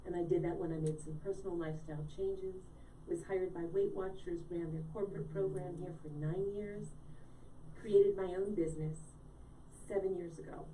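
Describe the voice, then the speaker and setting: A chatty woman in her late fifties, originally from Connecticut, tells her story with a mellow, soft tone. She sits back from the mic in an echoey room with a faint hiss behind her. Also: on the low side, far from the mic. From Connecticut, USA. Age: late 50s. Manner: chatty, storytelling, quizzes the host. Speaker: guest, wellness coach. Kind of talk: casual live-show conversation.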